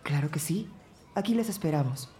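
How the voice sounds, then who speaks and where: A woman's voice: sits low, expressive, moderate pace, warm tone. A woman playing a formal, courteous school director in an audio drama. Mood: courteous, solemn.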